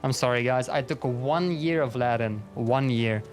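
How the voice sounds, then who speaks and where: A man's voice: mid-range voice, normal speed, soft background music. A young man from Belgium with a strong Flemish accent, a native Dutch speaker, chatting casually and rambling on a gaming livestream, swearing often. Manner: rambling, swears a lot, self-deprecating, frequent restarts. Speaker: streamer.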